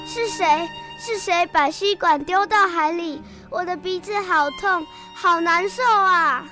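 Woman voicing a piercingly high young sea turtle. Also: unhurried, sharp tone, soft background music, exaggerated voice. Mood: pained, indignant.

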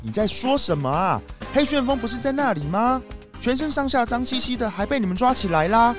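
Woman as a high-voiced, whiny, sly fox thief. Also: animated, fairly quick, music in the background, natural voice. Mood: indignant protest. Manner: whiny, protesting, dramatic. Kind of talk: children's audio drama acting.

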